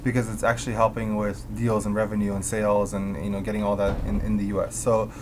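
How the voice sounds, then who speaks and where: A man's voice: on the low side, completely flat delivery, quick pace, background noise. A man hosting an interview, asking loose, casual questions full of fillers. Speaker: interview host.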